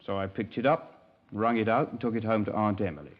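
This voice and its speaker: An actor playing a naive young English scoutmaster in a 1950s radio crime drama, polite, hesitant and wordy, easily affronted. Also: male voice, fairly low pitch, brisk, close to an everyday voice. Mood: earnest, rambling. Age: young adult. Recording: dull, band-limited 1950s radio recording.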